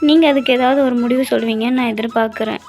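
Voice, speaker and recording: A woman playing an exasperated mother of two girls in an animated moral story, speaking in an emphatic, motherly way. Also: a little high, rapid-fire, faint music behind, close to an everyday voice. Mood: worried. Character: exasperated, scolding mother of two young daughters.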